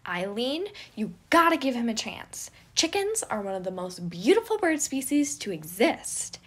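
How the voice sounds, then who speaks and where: A woman's voice: on the high side, big pitch swings, normal speed, slightly rough, pitched above the performer's own voice. A woman voicing a boisterous, theatrical and eccentric man in an audio story. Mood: indignant scolding. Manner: loud, theatrical, exclaiming. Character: boisterous, gleefully chaotic eccentric man who scolds birds. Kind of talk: fan fiction audio story character.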